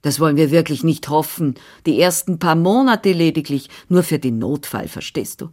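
Woman reading as a brisk, bossy older housekeeper. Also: low voice, lively intonation, a little mellow. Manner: firm, chatty, managing.

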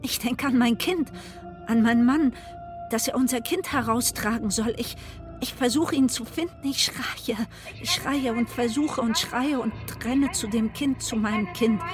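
Breathless, desperate mother played by an actress, higher-pitched. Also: a little slow, music in the background, close to an everyday voice. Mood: frantic, desperate. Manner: breathless, repetitive, urgent. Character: desperate mother trapped in her burning house. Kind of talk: radio drama monologue.

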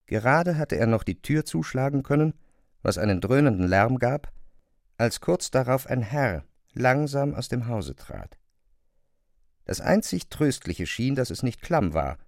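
A man with speech narrating a literary classic in a measured, expressive way. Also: mid-range voice, creaky, dry recording, studio quality. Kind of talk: literary audiobook narration, dramatized radio reading.

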